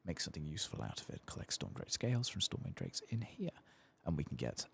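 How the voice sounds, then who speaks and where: Deep, gravelly, soft-spoken gaming man. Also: big pitch swings, fast, a little hiss or hum. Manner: murmuring to himself while playing, low-key. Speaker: game streamer, host of an ASMR playthrough. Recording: keyboard and mouse clicks behind voice.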